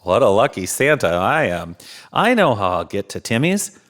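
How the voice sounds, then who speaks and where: A man acts out a jolly old Santa Claus for a children's storybook. He is kindly and given to chuckling, with a pitch that swings widely and merrily. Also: moderate pace. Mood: jolly, delighted. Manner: booming ho-ho-ho chuckles, kindly and animated. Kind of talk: children's storybook read-aloud.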